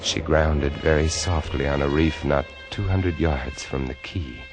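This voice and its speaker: A man playing a haunted, desperate lighthouse keeper, narrating his ordeal with intense, deliberate dramatic pauses in a vintage 1950s radio drama recording. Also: extremely low pitch, music in the background. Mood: hushed, anxious.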